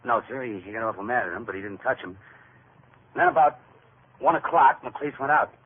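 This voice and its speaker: A man's voice: medium pitch, expressive, a little fast, close to an everyday voice. An actor playing an uneasy, respectful policeman reporting hesitantly to superiors in an old-time radio drama. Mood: careful. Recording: tinny, noisy vintage radio recording.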